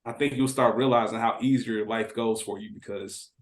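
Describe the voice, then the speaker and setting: A man's voice: fairly low pitch, steady tone, moderate pace, a crowd in the background. An American man chatting casually as a podcast panelist, plainspoken and full of slang. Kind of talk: casual chat. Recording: echoey room.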